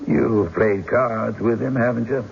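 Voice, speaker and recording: An actor playing a formal, probing prosecutor with a deliberate official manner in a radio drama. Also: male voice, medium pitch, creaky. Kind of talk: 1970s radio drama acting. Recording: muffled, noisy old radio broadcast.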